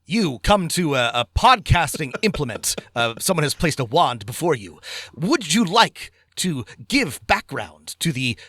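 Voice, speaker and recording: A man's voice: fairly high pitch, sing-song, a little slow, bright, forceful tone, no room sound. A man co-hosting a podcast in casual review chat, speaking emphatically and repeating words for stress. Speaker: podcast co-host.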